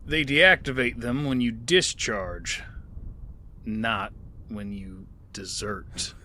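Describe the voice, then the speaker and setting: An actor narrating as a weary gunslinger in an audio drama, with a dry, laconic delivery. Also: male voice, mid-range voice, slow pace, pushed and bright, slight background noise. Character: weary male gunslinger narrating his tale.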